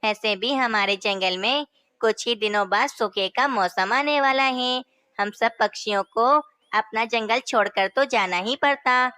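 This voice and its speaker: Brief: woman as a male cartoon parrot, bright, high-ish, crisp. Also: measured pace, no room sound. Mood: persuasive. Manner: persuasive.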